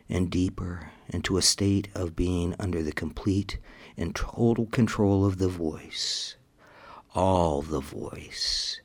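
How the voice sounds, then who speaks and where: Deep man counting down extremely slowly. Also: slightly rough. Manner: steady, measured, monotone counting with soft whispers. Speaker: hypnosis countdown narrator. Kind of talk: hypnotic induction countdown.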